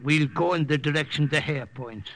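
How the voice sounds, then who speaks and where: A man's voice: on the high side, moderate pace, a bit bright, slight background noise. An actor playing a blustery, folksy older Irish priest who has lost his false teeth, in an old-time radio drama. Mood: calm, assured.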